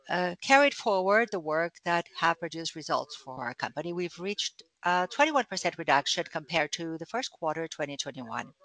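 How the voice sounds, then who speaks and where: A man presenting quarterly results on an earnings call, speaking formally with frequent fillers and halting restarts. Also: on the high side, bright, forceful tone, no room sound. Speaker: company executive on earnings call.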